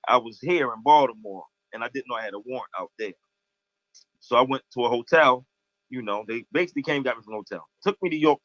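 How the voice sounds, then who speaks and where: A man's voice: on the high side, fairly bright tone, dry recording. A man in his thirties with an American accent, chatting earnestly in slangy, casual speech over a lo-fi video call. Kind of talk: casual chat, anecdotal. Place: indoor room, video call. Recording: dull lo-fi video call audio.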